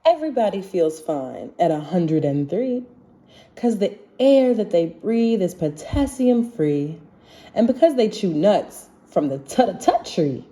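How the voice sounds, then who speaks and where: Slow, animated woman reading a storybook. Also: medium pitch. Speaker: children's book reader. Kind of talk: storybook read-aloud, rhyming narration.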